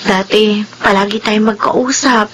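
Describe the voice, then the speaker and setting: A woman's voice: medium pitch, fairly even intonation, unhurried, a bit bright, a little hiss or hum, natural voice. An actress with a Filipino accent playing an earnest, sentimental teenage girl in an emotive radio drama. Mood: hurt, wistful. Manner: emotive, plaintive. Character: earnest, sentimental teenage daughter, a twin sister.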